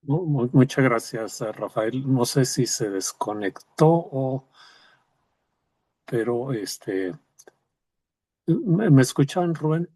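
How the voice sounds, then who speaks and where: A man's voice: mid-range voice, expressive, slow, long pauses, dark tone. Hesitant male webinar moderator. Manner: informal, hesitant with fillers. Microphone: video call.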